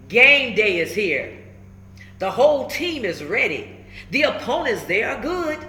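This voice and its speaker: Woman, storybook read-aloud, bright forceful voice, slow and emphatic, short instructive phrases, roomy sound. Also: on the high side. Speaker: radio personality reading a children's book aloud. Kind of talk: animated storybook read-aloud with encouraging asides. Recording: echoey room with faint background noise.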